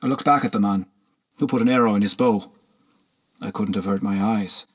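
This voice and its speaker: Husky man reading a historical novel. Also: fairly low pitch, brisk. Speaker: audiobook narrator. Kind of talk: scripted narration of a historical novel.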